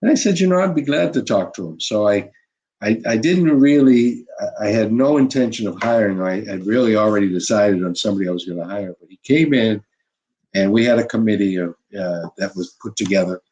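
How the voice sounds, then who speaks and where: An older man, a former basketball coach, telling stories from his career in an unhurried interview, full of "you know" and restarts. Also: fairly low pitch, a little mellow, dull audio. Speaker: former college basketball head coach, guest. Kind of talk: reflective interview storytelling.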